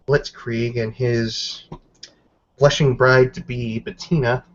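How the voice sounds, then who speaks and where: A man hosting and narrating a tabletop roleplaying game as game master, in a measured, formal storytelling manner. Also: mid-range voice. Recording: slightly dull lo-fi mic.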